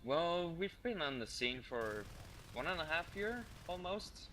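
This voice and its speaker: A man's voice: on the high side, sing-song, a little slow, a bit bright, slight background noise. A young man from Denmark with a Danish accent, a guest chatting about gaming with lots of ums and uhs. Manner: hesitant, many ums and uhs. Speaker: guest gamer. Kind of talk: casual interview chat.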